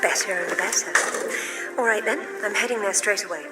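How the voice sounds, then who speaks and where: A woman voicing a bold adventurer, speaking with cool, wry confidence in a middling voice. Loud music runs under her lines in this game cutscene. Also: medium pitch, brisk, natural voice, low-quality recording. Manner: cool, wry and self-assured. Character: bold female adventurer archaeologist, dry and confident. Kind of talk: video game cutscene acting.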